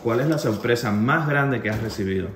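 A man's voice: medium pitch, fairly quick. A man acting as interviewer, reading out short, casual personal questions. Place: home kitchen. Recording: echoey home kitchen, faint noise.

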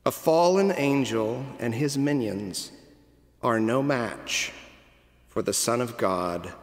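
Slow-spoken middle-aged preacher in a cathedral. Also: male voice, medium pitch. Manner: slow, deliberate storytelling with dramatic pauses. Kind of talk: sermon. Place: large stone cathedral, at a pulpit. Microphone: pulpit microphone. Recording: long cathedral reverb.

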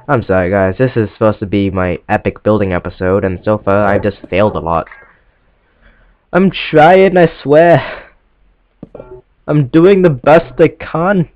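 A man's voice: lowish voice, lively intonation, unhurried, pauses often, no echo. A teenage boy who sounds stuffed up from a cold, rambling apologetically and poking fun at himself while commenting on his gameplay. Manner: rambling, self-deprecating and apologetic. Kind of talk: casual let's-play commentary. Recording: lo-fi recording with faint game music.

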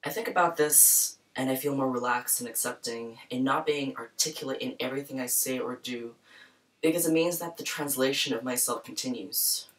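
A young woman hosting a program, speaking in a soft, thoughtful, personal way in a small room. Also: rumbling low, normal speed, distant mic, rough recording. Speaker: program host. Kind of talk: reflective spoken introduction. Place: small bright room with plants, indoors.

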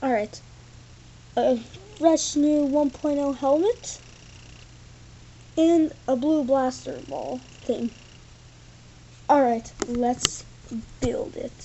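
A woman's voice: high-pitched, fairly even intonation, drawn-out words, long pauses, mellow, lo-fi sound, a little hiss or hum. A woman giving a casual, rambling toy review from an indoor room, filmed on a tripod camera. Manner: rambling, loose, full of "like" and "thing". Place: indoor room with carpeted floor. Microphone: camera on a tripod, built-in mic.

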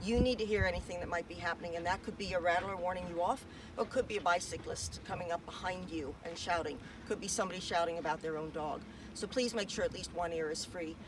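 A woman's voice: lowish voice, quick pace, hardly any pauses. American woman talking outdoors, off the mic. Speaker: fitness department staffer presenting a dog hiking safety video. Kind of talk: informal outdoor safety talk to camera. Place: outdoors in open desert by trails and a dirt parking lot. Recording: far from the mic, faint outdoor noise.

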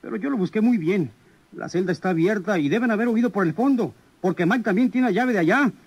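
Timid Mexican henchman, high husky voice, hesitant, servile, old radio drama. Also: fast talker. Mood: nervous, deferential. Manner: deferential, hesitant. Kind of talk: old radio serial acting.

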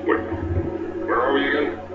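An actor playing a brash middle-aged male company founder in a game, talking in a boastful, booming salesman pitch. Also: moderate pace, far from the mic, narrow, tinny audio, heavy background noise, lightly acted. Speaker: game character in a fan-made mod. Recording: roomy game audio with loud music.

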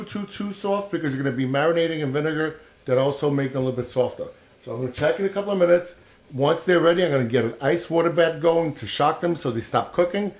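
A man's voice: a little high, slight background noise. Chatty man demonstrating a recipe in his kitchen. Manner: chatty, encouraging, talks while working. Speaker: cooking show host. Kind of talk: casual cooking demonstration. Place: home kitchen, indoors.

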